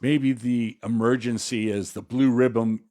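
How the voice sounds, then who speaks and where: A man with a mid-pitched voice co-hosts a political podcast. His commentary is conversational and comes out haltingly. He pauses and rephrases as he goes. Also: steady tone, mellow. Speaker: podcast co-host.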